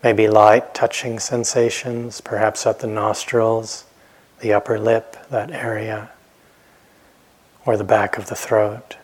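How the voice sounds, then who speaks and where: Man, meditation teacher, rather low pitch, breathy husky tone, gentle, very slow phrasing, long pauses. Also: level tone. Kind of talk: guided meditation instruction.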